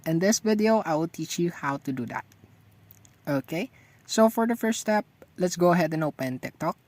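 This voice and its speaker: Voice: medium pitch, expressive, normal speed, a little mellow, no room sound. A tutorial host narrating step-by-step instructions for using a phone app.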